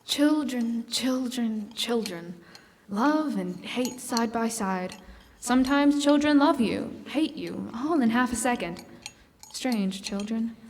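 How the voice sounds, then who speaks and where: A woman's voice: a little high, expressive, slight background noise. A young woman acting an anxious, hesitant suburban mother in a live stage radio drama. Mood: brooding. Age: young adult. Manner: hesitant, halting. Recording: echoey stage hall.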